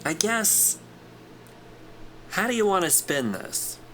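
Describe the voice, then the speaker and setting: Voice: mid-range voice, big pitch swings, slow pace, fairly bright tone, a little hiss or hum. An actor playing a glib, friendly corporate clerk in a sci-fi audio drama, breezy, wry and casual in a naturalistic style. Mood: teasing, coaxing. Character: glib, teasing, friendly corporate clerk smoothing things over.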